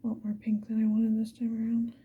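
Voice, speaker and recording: A woman's voice: on the high side, completely flat delivery, quick pace, breathy edge, phone-quality sound. A woman whispering breathily in a casual, rambling ASMR style, unhurriedly thinking aloud. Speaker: ASMR video creator.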